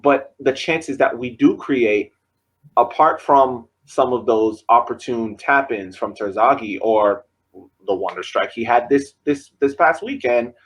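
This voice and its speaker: A man with a husky, breathy voice debating soccer tactics on a podcast. He presses his point, repeats himself and keeps talking over the others. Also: medium pitch, unhurried, poor audio. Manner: argumentative, talks over others, repeats phrases. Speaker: podcast co-host. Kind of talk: casual sports debate.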